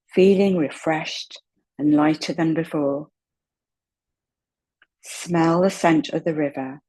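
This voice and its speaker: A woman leading a slow guided meditation in a soft, breathy voice, calm and unhurried, with long pauses. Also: low-pitched, low-quality recording. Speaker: guided relaxation meditation instructor.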